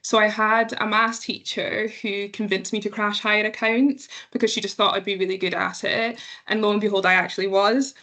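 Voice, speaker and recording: A woman, a young accountant, speaking as a panelist on a video call in a steady, flat delivery. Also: mid-range voice, fairly quick, hardly any pauses. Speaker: panelist, assistant manager. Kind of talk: panel introduction and answers.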